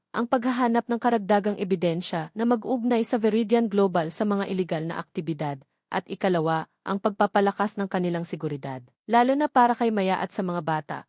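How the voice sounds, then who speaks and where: A woman's voice: mid-range voice, a little mellow, dry recording, clean studio sound. A woman with a Filipino accent narrating a scripted audio story.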